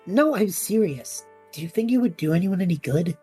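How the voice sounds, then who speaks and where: Actress as a restless young man in an audio drama, soft dark tone, rough-edged, earnest. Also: lowish voice, animated, normal speed, faint music behind. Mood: pensive. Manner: casual, hesitant, earnest. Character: restless, idealistic young adult male traveler between worlds. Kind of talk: scripted audio drama acting.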